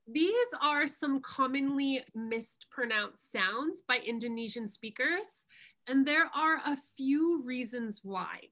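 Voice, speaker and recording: Slow-talking Canadian woman coach. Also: sits high, pushed and bright. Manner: encouraging, unhurried teacher. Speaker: pronunciation coach. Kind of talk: online workshop lesson. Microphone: video call.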